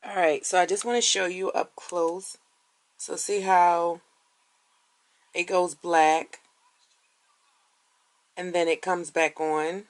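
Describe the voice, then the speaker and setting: A woman vlogging in a casual, rambling way, with a raspy deep voice after a late night and lots of fillers. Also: fairly even intonation, slow, long pauses. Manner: rambling, many fillers and restarts. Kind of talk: casual vlog chat.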